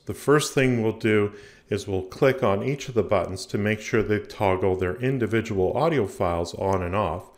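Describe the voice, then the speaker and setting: A man with a fairly low voice narrates a screencast tutorial. He explains each step methodically, as a host guiding learners through software. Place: indoor voice-over recording for a screen capture.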